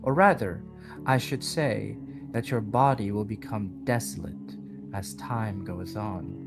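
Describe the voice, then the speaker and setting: A man voicing a solemn, cryptic and sympathetic mysterious woman in an audio drama, speaking in formal, measured phrases. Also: lowish voice. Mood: solemn, foreboding. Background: guitar music.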